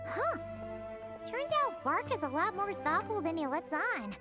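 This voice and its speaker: An excitable little fairy companion chatters in a very high, sing-song voice that leaps up and down. She refers to herself in the third person. Loud game music plays under the game dialogue. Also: a little slow, exaggerated voice. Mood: curious. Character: tiny chirpy floating fairy companion girl.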